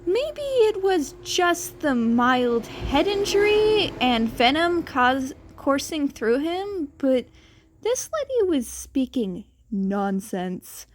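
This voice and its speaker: A woman's voice: high-pitched, very animated, takes it slowly. A woman reading a scripted fan fiction story aloud as its narrator.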